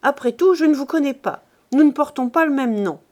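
A woman reading aloud, voicing a contemptuous male oil merchant who threatens and then turns icily calm. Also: on the high side, normal speed, natural voice. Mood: threatening.

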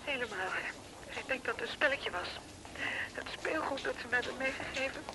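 A woman's voice: sits high, brisk, lightly acted. An actress in a Dutch radio play, playing an emotional wife and mother, worried, tender and a little reproachful. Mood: relieved, tender. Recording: noisy old radio play, telephone sound.

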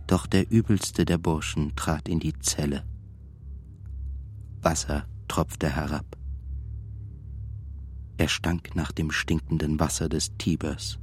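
An actor playing a tormented, melancholy man in a radio play monologue, brooding and deliberate, at times nearly whispering. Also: extremely low pitch, slight background noise. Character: tormented, melancholy man confessing desire and self-loathing. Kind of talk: literary first-person monologue in radio play.

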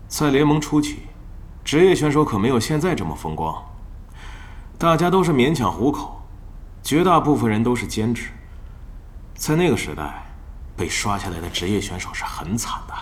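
A man playing a smooth, calculating middle-aged esports club manager in a Mandarin audio drama. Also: medium pitch, close to an everyday voice. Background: background music.

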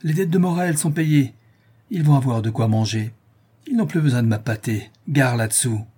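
A man's voice: on the high side, normal speed, darkish tone, breathy edge, pitched above the performer's own voice. A man voicing a feisty old female concierge in a storybook, scolding and comic, indignant and mock-heroic. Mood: triumphant, gloating.

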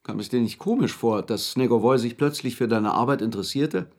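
An actor playing a calm, cerebral, pensive man in a radio play, terse and deliberate, with a husky, gravelly voice. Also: mid-range voice, natural voice. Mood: sober, probing. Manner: terse, deliberate, slips into slowly recited verse.

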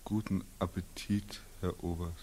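A despairing young war veteran in an old German radio play. His voice is lowish and very slow, weary and bitter, with halting, heavy repetitions. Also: level tone, darkish tone, slightly rough. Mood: subdued, bitter. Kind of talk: 1940s German radio drama acting. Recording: 1940s radio drama recording.

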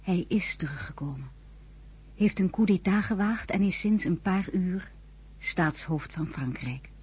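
An actress in a radio play as a gentle, quietly anxious young French wife who narrates, her voice soft and breathy. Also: on the low side, lively intonation, unhurried, exaggerated voice. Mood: hushed narration.

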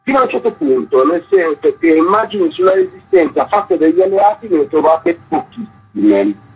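A man with a raspy, creaky voice explaining his work as a curator being interviewed. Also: sits high, wildly swooping pitch, moderate pace, faint music behind. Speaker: photography exhibition curator interviewed as guest. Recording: dull, lo-fi line.

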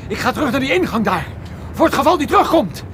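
An actor playing a stubborn, reckless private detective in a radio play, speaking with urgent determination. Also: male voice, very high-pitched, very animated, fast, a bit bright, full character voice. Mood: tense determination. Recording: noisy old radio drama recording.

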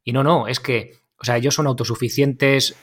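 A man's voice: mid-range voice, fairly even intonation, normal speed. A man with a Spanish accent from Spain interviews casually, in an informal, hesitant way with rambling questions. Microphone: remote online call.

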